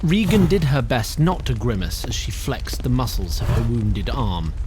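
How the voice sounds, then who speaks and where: A man narrating an audio drama in a wry, expressive way. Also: medium pitch, normal speed, over music. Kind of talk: scripted narration.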